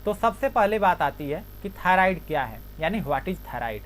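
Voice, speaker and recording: A woman with a fairly low voice gives a steady explanatory health talk. She makes a point, then says it again in other words. A faint hum runs under the recording. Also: normal speed. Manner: repeats each point with a rephrasing. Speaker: health educator.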